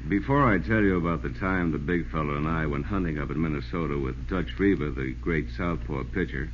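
An actor with an American accent playing an excitable sports reporter, narrating a yarn in a folksy way for old-time radio. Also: male voice, deep voice. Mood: warm recollection. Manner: folksy, animated storytelling. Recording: noisy, dull old radio broadcast.

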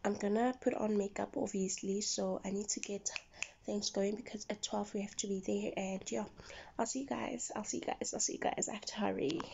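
A woman's voice: medium pitch, steady tone, a little fast, creaky, warm tone. A woman in her twenties with a South African accent, chatting casually and informally to camera as a vlogger. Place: mostly a bedroom at home. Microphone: phone camera at arm's length. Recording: dull lo-fi phone audio.

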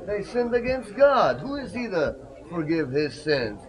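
A man leads a tour group through outdoor ruins, spinning the story with lively energy and tossing questions to his listeners. His voice sits a little high. Crowd chatter runs behind him, picked up by a body-worn camera mic. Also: unhurried. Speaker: tour guide. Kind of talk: guided site tour explanation.

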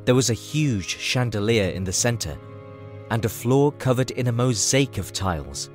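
A man's voice: medium pitch, expressive, moderate pace, faint music behind. A man narrating a scripted fantasy story as the narrator of an audio drama.

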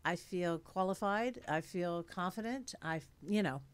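A woman's voice: on the low side, a little slow, clear, resonant tone. A woman in her late sixties, an actress, chatting animatedly in an interview at a studio mic, with many fillers. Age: 60s. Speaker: actress guest. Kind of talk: casual interview chat. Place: studio with dark curtains and blue lights. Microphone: studio mic on a stand.

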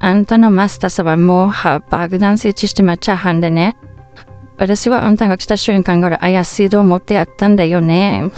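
A woman playing a sharp, suspicious young hacker in a comedic voice drama, speaking in Tsugaru dialect in a blunt, scolding manner. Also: medium pitch, fairly even intonation, moderate pace, faint music behind, lightly acted. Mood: menacing.

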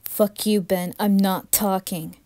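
A woman narrating a first-person horror story with dramatic, anguished intensity and a breathy delivery. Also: mid-range voice, fairly even intonation, slow, warm tone, no room sound.

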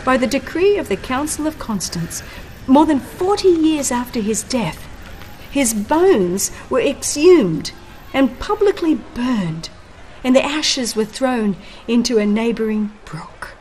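A woman narrating a dramatized audiobook of religious history in a solemn, measured manner with deliberate phrasing. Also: high-pitched, expressive, darkish tone, a little hiss or hum. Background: background music.

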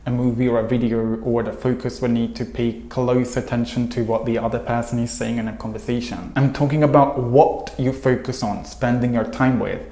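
A man, teaching English to camera in an earnest, steady way. His voice sits at a middling pitch. The lapel-mic sound carries a noticeable roomy echo from a small room at home. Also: moderate pace, hardly any pauses. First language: Hungarian. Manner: earnest, steady, listing points in long runs. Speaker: English coach. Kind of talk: scripted video lesson to camera.

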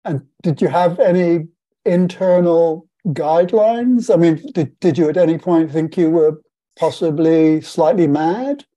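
Older man interviewer with a mellow voice. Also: on the high side. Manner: eager, quick bursts with repeated words. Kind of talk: conversational interview. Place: home room, indoors. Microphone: video call.

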